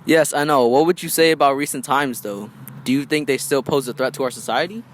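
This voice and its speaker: A man playing a brisk, folksy, businesslike host of a 1920s radio talk show. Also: medium pitch, close to an everyday voice. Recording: noisy amateur recording.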